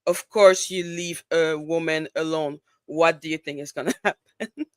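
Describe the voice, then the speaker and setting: A woman's voice: sits low, steady tone, unhurried, no room sound. A woman from Montreal, Canada, chatting casually as a panelist and telling anecdotes in a conversational way. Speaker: panelist on an online show.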